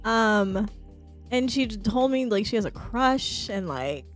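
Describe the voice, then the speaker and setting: A woman speaking as a guest on a podcast, relaxed and candid in casual conversation, laughing easily. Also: fairly high pitch, unhurried, soft background music.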